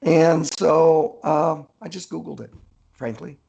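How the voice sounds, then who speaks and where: A man with a gravelly, husky voice answering casually in an interview as an author, chatty and thinking aloud. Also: a little high, takes it slowly, warm tone, dull audio.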